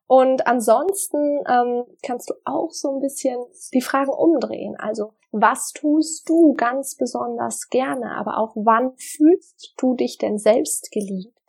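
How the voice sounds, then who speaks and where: A woman talking straight to her viewers in an earnest, reflective way, pausing often with small fillers as she shares advice on love and partnership. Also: fairly high pitch, creaky, a little mellow, slightly rough. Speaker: vlogger giving relationship advice. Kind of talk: casual direct-to-camera advice talk.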